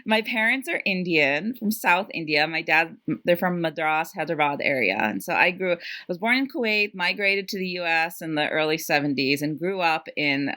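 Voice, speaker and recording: Bright, animated woman telling stories. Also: low-pitched, normal speed, hardly any pauses. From Greater New York area. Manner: animated, laughing, lots of "like" and "you know". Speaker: podcast guest, academic. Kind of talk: casual storytelling chat.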